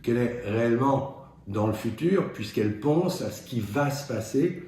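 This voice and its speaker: A man giving an explanatory self-help talk as a doctor, in a measured, didactic and halting way with pauses. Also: mid-range voice, lively intonation, dark tone. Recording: very echoey room, faint noise.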